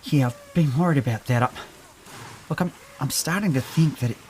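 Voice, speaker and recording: A man's voice: mid-range voice, brisk, a little mellow, slight background noise, lightly acted. A man playing a nerdy, hesitant scientist in an audio drama, stammering and rambling. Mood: hesitant, thoughtful. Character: nerdy, hesitant male scientist with boyish glee.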